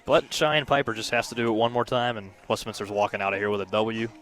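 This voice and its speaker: A man giving conversational color commentary on a live softball broadcast. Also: on the low side, steady tone, fast talker, faint music behind. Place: outdoors at a ballfield, on a platform by tables.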